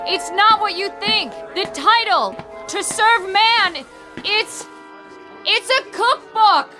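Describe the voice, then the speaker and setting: An actress playing a desperate woman in a radio drama, pleading urgently and haltingly with a colleague. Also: very high voice, lively intonation, bright, forceful tone, faint music behind, heavily acted. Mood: anguished.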